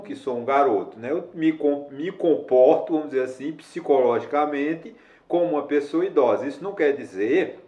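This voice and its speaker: Man in his sixties, lawyer explaining the law, rambling with fillers, middle pitch, echoey room. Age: 60s. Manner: rambling, many fillers and self-corrections. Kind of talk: casual explanatory video lesson.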